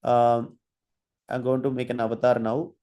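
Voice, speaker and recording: A man's voice: medium pitch, level tone, dark tone, muffled recording. Hesitant male lecturer. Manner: halting, many fillers and restarts while demonstrating. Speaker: guest lecturer. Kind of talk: online faculty training lecture.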